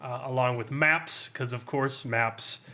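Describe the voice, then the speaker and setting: A man's voice: mid-range voice, steady tone, measured pace, pushed and bright. An American man giving a casual podcast review with a dry, sardonic manner.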